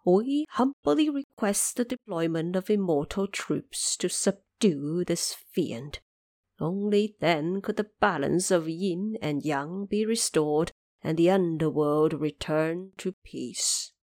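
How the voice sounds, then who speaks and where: A woman's voice: lowish voice, animated, takes it slowly, a little mellow, no echo, studio quality. A woman voicing a solemn underworld petitioner, humble, formal and grave, in an audio drama. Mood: grave pleading.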